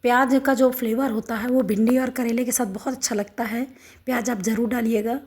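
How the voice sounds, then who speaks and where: A middle-aged woman with a hoarse, sore throat, walking through a home recipe step by step in a homely, instructive way. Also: on the high side, steady tone, fairly quick, darkish tone. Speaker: cooking channel host. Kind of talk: home cooking demonstration.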